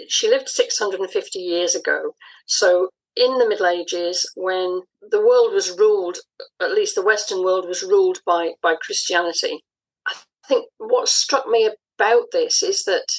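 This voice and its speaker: Slightly husky woman, reflective interview guest. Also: mid-range voice, poor audio. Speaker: interview guest, audio dramatist. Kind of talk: conversational interview, explaining.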